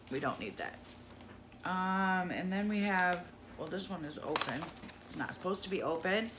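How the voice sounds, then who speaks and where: A woman talking through a shopping haul in a casual, gushing way, losing her voice to a cold. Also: on the low side. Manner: chatty, gushing over cheap finds. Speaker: haul vlogger. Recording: audible noise in the background.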